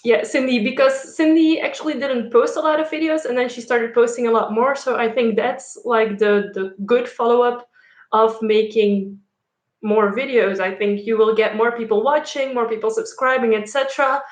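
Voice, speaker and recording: A woman's voice: fairly high pitch, fairly even intonation, normal speed. Chatty young woman, echoey room. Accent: Dutch. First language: Dutch and French. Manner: chatty, frequent fillers and laughs. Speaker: YouTuber. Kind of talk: casual live stream chat.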